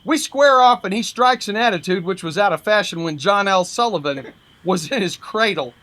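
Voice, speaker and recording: A brash boxing manager from an old pulp comedy , voiced by a man reading aloud. He spins his story in a high, sharp, declamatory voice, full of slangy wisecracks. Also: lively intonation, normal speed. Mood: brash, bemused.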